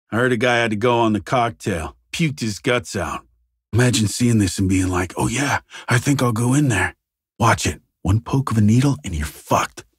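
An actor playing a jaded, wry SWAT officer in a video game, speaking casually with a husky, rough edge. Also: male voice, on the low side, dry recording, studio quality. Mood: wary, wry. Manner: casual, muttered wisecracks with swearing. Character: jaded male police SWAT officer, wry and foul-mouthed. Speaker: video game squad member. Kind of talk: video game character barks.

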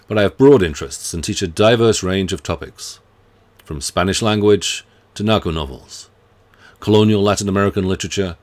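A middle-aged man, a university professor reading a measured, earnest self-introduction to students from his home study. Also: sits low. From United Kingdom. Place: home study lined with bookshelves.

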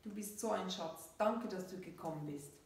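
A woman's voice: lowish voice, moderate pace, darkish tone, slight background noise, close to an everyday voice. A woman voicing an emphatic, urgent schoolgirl heroine in a story reading. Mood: grateful. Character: Leila, exasperated, stunned schoolgirl narrating heroine. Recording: very echoey room, distant microphone.

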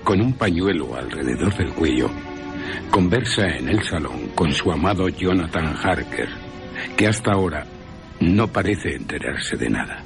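A man narrating a horror radio drama in a theatrical way, with wry asides. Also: low-pitched.

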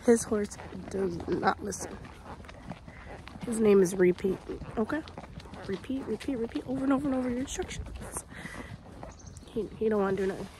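A young woman vlogging to camera at an outdoor petting farm, gushing excitedly and chatting to the animals, filmed on a phone held at arm's length. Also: mid-range voice, moderate pace, pauses often. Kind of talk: casual vlog chat to camera. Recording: noisy outdoor phone recording.

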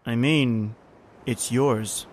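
An actor playing a hateful old servant in a radio horror drama, speaking with deliberate menace. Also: male voice, medium pitch, fairly even intonation. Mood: menacing, taunting. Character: bitter old male servant consumed by lifelong hatred.

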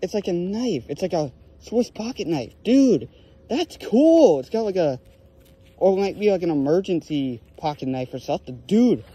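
Young male vlogger, high lively voice, mellow tone, excitable outdoor commentary, faint ambience. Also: moderate pace, husky. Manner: excitable, exclaims over finds. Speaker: magnet fishing vlogger. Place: outdoors on a lake dock. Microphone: handheld phone or body camera.

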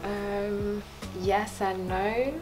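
A woman's voice: medium pitch, steady tone, very slow. A young woman with a British accent, chatting casually as a contestant on a dating show. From Ghana. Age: young adult. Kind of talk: casual chat. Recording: music playing behind the voice.

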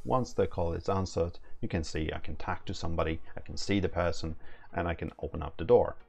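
A man's voice: deep voice, a little fast, gravelly, darkish tone, breathy edge. A man in his thirties giving a casual, informal, step-by-step tutorial as a product demonstrator. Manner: informal, hands-on, step-by-step explaining. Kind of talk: casual tutorial, explaining setup steps.

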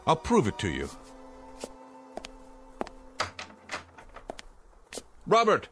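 An actor playing a middle-aged father and inventor in a radio drama, earnest and measured but halting under strain. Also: fairly high pitch, wildly swooping pitch, a bit bright, soft background music. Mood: commanding. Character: patient, anxious father, a commanding inventor.

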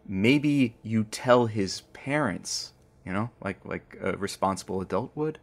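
An actress playing a teasing, irreverent radio producer and sidekick, sardonic in audio drama banter. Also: female voice, rumbling low, animated, measured pace, full character voice. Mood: dry teasing.

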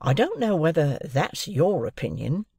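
A woman's voice: low voice, very animated, unhurried, mellow, husky, dry recording, studio quality, voice pushed up, heavily acted. A woman voicing a proud, shrewd, eccentric male clerk in an audiobook, with emphatic, confiding, colloquial talk. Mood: proud, eager. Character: chirpy, proud middle-aged London clerk, man, shrewd and eccentric.

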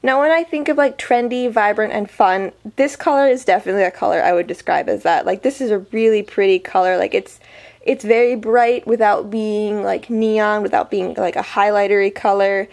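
A woman's voice: medium pitch, moderate pace, a little mellow. A woman vlogger chatting casually through an unboxing review, her voice a little weak from a summer cold, full of ums and likes. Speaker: beauty vlogger.

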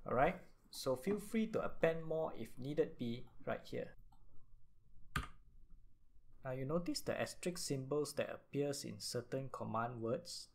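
A man hosting a software tutorial, speaking in an instructional way and signposting each step as he narrates. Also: medium pitch, expressive, measured pace, creaky. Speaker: tutorial host.

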